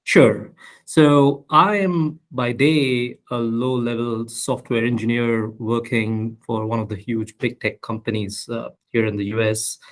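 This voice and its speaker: A man in his thirties from the United States, a guest talking calmly about himself on a podcast with frequent fillers. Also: mid-range voice. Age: 30s. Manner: measured, self-introducing with fillers. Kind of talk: casual interview.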